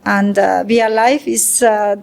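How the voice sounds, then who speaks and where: A woman's voice: mid-range voice, fairly even intonation, unhurried, gravelly. A woman, a guest artist talking reflectively in a casual interview, with many hesitant fillers. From Mexico. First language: Spanish.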